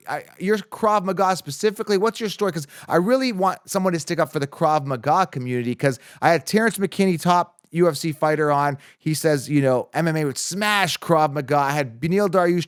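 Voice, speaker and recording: A young man hosts a podcast and talks in a friendly, enthusiastic way. His voice is smooth and a touch high, and it is recorded dry and close. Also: no echo. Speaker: podcast host. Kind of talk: casual interview talk.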